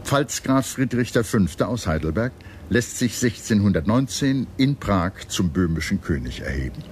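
A man reading scripted historical documentary narration in a measured, declarative storytelling manner. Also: on the low side, very animated, darkish tone. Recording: clear background noise and ambience.

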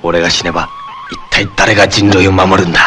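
An actor playing a fierce, righteous young jungle superhero in a 1970s Japanese tokusatsu show, emphatic, terse and commanding. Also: male voice, deep voice, steady tone, fairly quick, very noisy, close to an everyday voice. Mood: grim, determined. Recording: vintage TV soundtrack with loud music. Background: loud music.

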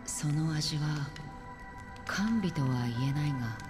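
An actress playing a calm, melancholy, detached wandering woman in a Japanese video game, solemn and softly breathy at phrase ends. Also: low-pitched, takes it slowly, music in the background.

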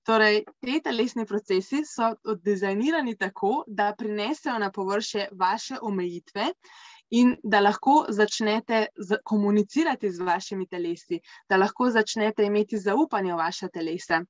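A woman's voice: mid-range voice, moderate pace, no echo. A woman, interpreting live on a video call. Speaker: consecutive interpreter. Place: small indoor room, video call. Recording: dull lo-fi video-call sound.

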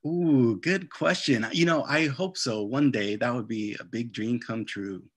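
A man's voice: medium pitch, measured pace, husky. A middle-aged man, a children's author, reading expressively and chatting casually over a video call. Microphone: video call microphone.

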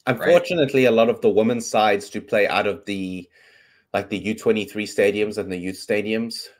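South African man, Afrikaans native, rambling host, lowish voice, bedroom webcam call. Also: brisk. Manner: chatty, rambling, lots of ums. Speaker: podcast host. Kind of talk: casual sports talk show. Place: bedroom at home. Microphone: webcam on video call.

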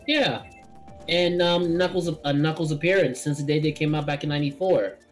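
A woman in her thirties with a low voice chats loosely with friends while streaming a racing game. She swears when she gets hit, and quiet game music runs behind her. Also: fairly quick. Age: 30s. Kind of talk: casual gaming chat with friends.

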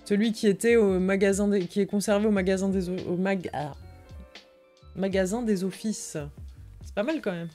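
Female livestream co-host, mid-pitched and soft-edged, translating live, faint music underneath. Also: steady tone, moderate pace. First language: French. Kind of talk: live translation and commentary of an encyclopedia article. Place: indoor room, livestream.

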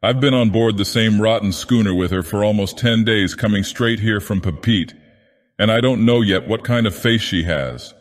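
A man voicing a gruff, drunken, superstitious schooner captain in a storybook narration, grumbling and emphatic with fear underneath. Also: fairly low pitch, moderate pace, long reverb tail, pitched above the performer's own voice, natural voice. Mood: grumbling, uneasy.

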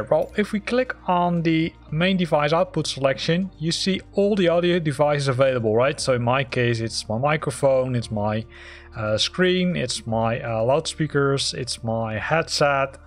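A man's voice: medium pitch, measured pace, soft background music. A man hosting a how-to tutorial, explaining things step by step in an informal way. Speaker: host of a flight simulator tutorial channel. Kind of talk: spoken how-to tutorial over screen capture.

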